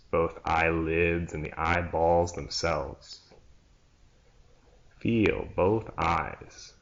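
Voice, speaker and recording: A man leading a guided yoga nidra relaxation as a teacher, in a hushed, soothing manner with long pauses between short phrases. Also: a bass voice, creaky. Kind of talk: slow guided relaxation meditation. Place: church room, live class. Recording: dull, muffled live recording.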